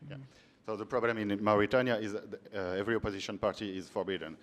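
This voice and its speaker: A man interpreting on a conference panel, halting, with many restarts and fillers. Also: fairly low pitch, fairly even intonation, fairly bright tone. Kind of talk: consecutive interpreting. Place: large conference hall. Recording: long hall reverb.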